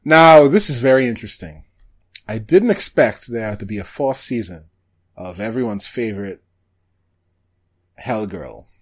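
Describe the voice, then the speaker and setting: A man co-hosting a podcast, chatting casually and thinking aloud in halting bursts about anime shows. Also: fairly low pitch, lively intonation. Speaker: podcast co-host.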